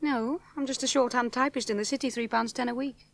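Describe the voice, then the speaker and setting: A flustered young pilot. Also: female voice, on the high side, steady tone, a little fast, vocal fry. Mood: plain. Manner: flustered, blunt northern speech. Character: young woman learner pilot from Hull, eager. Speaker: flying student. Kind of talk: 1970s radio drama acting.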